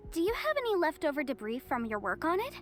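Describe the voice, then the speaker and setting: A woman's voice: sits high, wildly swooping pitch, fast, slight background noise. An actress playing a confident young female legal adviser in a video game, businesslike, articulate and courteous. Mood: courteous, probing.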